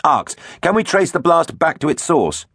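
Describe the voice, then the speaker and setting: A man's voice: on the high side, sing-song, normal speed, gravelly, fairly bright tone, breathy edge, no room sound, studio quality, voice pushed up, full character voice. A man voicing a bold, heroic dinosaur starship captain in a children's story, decisive and commanding. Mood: resolute.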